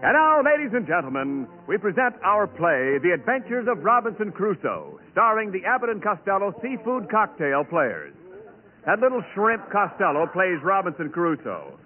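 Animated, high-voiced 1940s radio announcer man. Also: normal speed, soft background music. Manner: polished, emphatic salesmanship. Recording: tinny band-limited 1940s radio recording.

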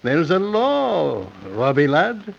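Solemn Scottish judge, declamatory, lightish swooping voice, muffled vintage radio recording. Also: male voice, fairly high pitch, sing-song, takes it slowly, vocal fry, full character voice. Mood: solemn warning. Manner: declamatory, repetitive singsong. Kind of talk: old-time radio drama acting.

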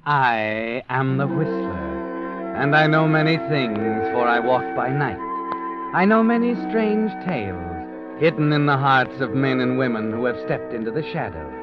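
An actor playing a mysterious, ominous narrator who walks by night, in a 1940s radio drama. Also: male voice, medium pitch, lively intonation, natural voice. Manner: slow, ominous. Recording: dull old radio recording with music.

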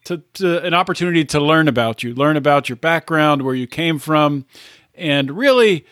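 A male interview host with a fairly high voice lays out the facts in a measured, explanatory way, often restarting his sentences. His sound is dry and close, with no room at all. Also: expressive, fairly bright tone, dry recording. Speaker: podcast host. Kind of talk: casual interview.

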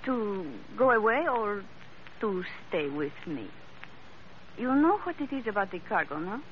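Femme fatale, theatrical, old radio. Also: female voice, medium pitch, sing-song. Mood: seductive, pleading. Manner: formal, halting English, theatrical. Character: seductive, volatile Iberian femme fatale, murderess. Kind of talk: 1940s radio melodrama acting. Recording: muffled, hissy old radio recording.

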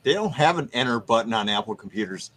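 A man bantering casually, cracking jokes in a deadpan way. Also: medium pitch, fast talker.

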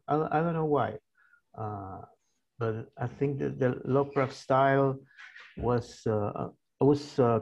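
A man's voice: mid-range voice, vocal fry, darkish tone, dull audio. A man in his sixties with an Argentine Spanish accent, speaking English as a guest in a casual interview, hesitant and full of fillers and restarts. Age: 60s. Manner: hesitant, many fillers and restarts, laughs easily. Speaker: guest author.

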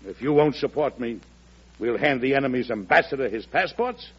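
Old radio drama lead: grave, forceful president, oratorical and very animated, dull archival sound. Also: male voice, mid-range voice, moderate pace, husky. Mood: forceful, urgent. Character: grave, impassioned American president pressing for war. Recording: muffled old radio recording.

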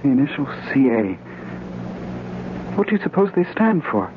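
An actor playing a bewildered, anxious hospital patient in a 1940s radio drama. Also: male voice, mid-range voice, big pitch swings, normal speed, natural voice. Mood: wondering. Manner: anxious, questioning. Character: bewildered male hospital patient with lost memory. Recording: noisy, tinny old radio recording.

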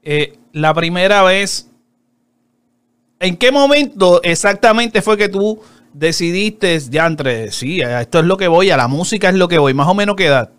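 A man hosting a casual interview show, opening with a lively promo and chatting loosely with his guest. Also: sits high, clean tone, dry recording. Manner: lively, upbeat promo delivery with fast asides.

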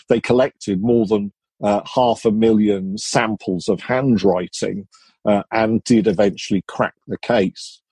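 A man's voice: on the low side, warm tone, slightly rough, no echo. A male author explaining a case in an interview, hesitant and full of fillers. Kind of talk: conversational explanation.

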